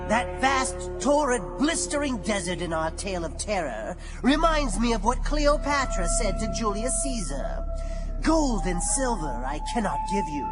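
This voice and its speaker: A man playing a gleefully ghoulish undead crypt keeper, hosting a horror radio show with shrill cackles and drawn-out puns. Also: sing-song, over loud music, low-quality recording. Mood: macabre glee. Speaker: horror anthology host. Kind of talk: theatrical horror host narration.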